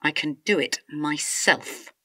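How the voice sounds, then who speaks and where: An actress playing a distressed, suspicious wife in a radio drama, agitated and accusing, often dropping to a hushed mutter. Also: female voice, low-pitched, slow, heavily acted. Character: distressed, suspicious wife convinced her husband is an impostor.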